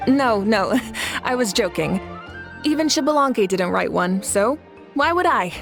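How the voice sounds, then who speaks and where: A confident female ruler from a video game, with a slightly high, expressive voice. She is poised and kindly, with a teasing good humor, over faint music. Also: measured pace, vocal fry. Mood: amused. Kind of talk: video game character acting.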